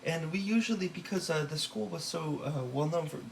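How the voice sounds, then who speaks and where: Young male student presenter, slightly high and gravelly, casual and halting, faint room hiss. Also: poor audio. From Los Angeles, California. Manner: casual, halting with many fillers and restarts. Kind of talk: recorded class presentation.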